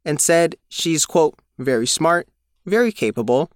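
A man's voice: fairly high pitch, expressive, unhurried, dry recording, studio quality. A man narrating a scripted news feature as a reporter.

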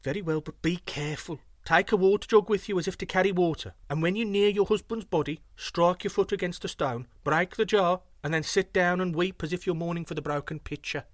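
A man reading a story, voicing a cunning young thief in a raised, lively voice. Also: high-pitched, fairly quick, hardly any pauses, no room sound, clean studio sound, voice pushed up, lightly acted. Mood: instructing. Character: cunning young male thief, brisk and scheming.

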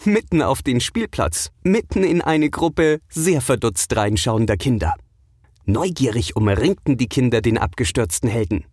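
Expressive, husky-edged children's storyteller. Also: mid-range voice, a little slow, no echo, clean studio sound. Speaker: storyteller, narrator. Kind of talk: scripted children's audio story narration.

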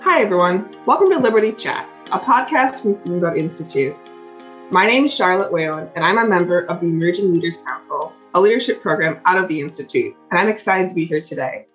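A woman's voice: on the low side, lively intonation, a little fast, faint music behind. A young woman hosting a podcast, who introduces her guest and asks questions in a casual manner with many fillers. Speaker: podcast host. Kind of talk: podcast interview. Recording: dull lo-fi audio.

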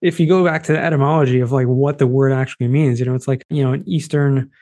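A man's voice: medium pitch, steady tone, fast talker, warm tone. A man with a Boston accent hosting a podcast interview, chatty and rambling with lots of fillers. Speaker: podcast host. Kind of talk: casual interview chat.

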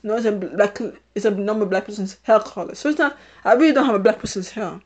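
A woman's voice: mid-range voice, fast, a little mellow. A middle-aged woman from Haiti with a British-sounding accent, rambling through a casual solo podcast, repeating herself and laughing often. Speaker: podcast host.

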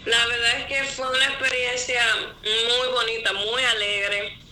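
Woman, very bright sharp voice, earnest, reflective, interview guest. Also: on the high side, little pitch movement, unhurried, a little hiss or hum, poor audio.

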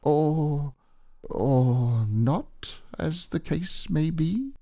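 Man playing a simple storybook bear, high-pitched, mellow, humble, dreamy, hesitant. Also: animated, extremely slow, no echo, clean studio sound, exaggerated voice. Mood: dreamy, wistful. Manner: hesitant, wistful, simple-minded. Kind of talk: storybook character reading.

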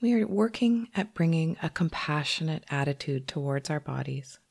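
A woman leading a guided meditation as a teacher, speaking gently and unhurriedly with long pauses. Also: sits low, animated, vocal fry, breathy edge.

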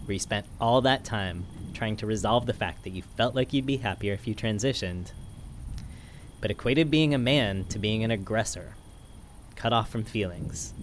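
A man reading a personal essay aloud in an earnest, measured way. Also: lowish voice, background noise.

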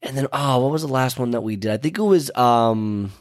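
A man's voice: medium pitch, brisk. A congested man hosting a fitness show, talking casually and loosely with many fillers and restarts. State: congested, stuffy. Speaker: fitness podcast host. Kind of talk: casual rambling talk.

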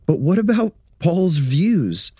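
A man's voice: fairly high pitch, big pitch swings, slow pace, warm tone, husky, no echo, studio quality, full character voice. A man playing an earnest, inquisitive student of scripture in a radio drama, questioning carefully. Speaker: actor in an audio drama.

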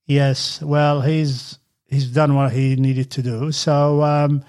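A middle-aged man with a husky voice hosts a casual chat. He talks in a relaxed, halting way, with plenty of fillers and restarts. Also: mid-range voice. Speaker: podcast host, father.

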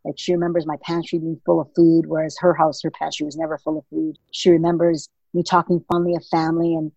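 A woman in her fifties who grew up speaking Italian first, telling stories quickly in a casual interview as a guest. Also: low-pitched, gravelly, dry recording, highs cut off. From Canada. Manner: quick, lively storytelling. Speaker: guest, business owner.

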